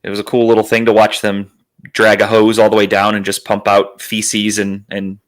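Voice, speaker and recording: A man hosting a podcast in casual chat, telling rambling, profane stories in a slightly raspy, worn, phlegmy voice. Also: fairly low pitch, monotone, moderate pace. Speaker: podcast host.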